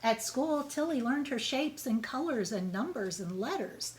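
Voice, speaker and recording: A woman reading a children's storybook aloud in an expressive, measured way, as if to young pupils. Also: fairly high pitch, slight background noise.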